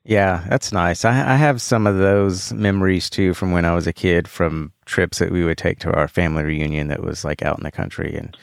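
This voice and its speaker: A man from Texas hosts an interview in a relaxed, easygoing way. His low voice has a gravelly texture. The sound is dry and close. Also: moderate pace, hardly any pauses, dry recording. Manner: relaxed, brief replies, laughs along. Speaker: podcast host. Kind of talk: casual interview.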